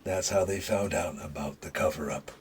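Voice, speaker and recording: An actor playing a dying retired Secret Service agent in an audio drama, older, gruff and folksy, weary and confiding, with dry humor. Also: male voice, low voice, moderate pace. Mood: wry.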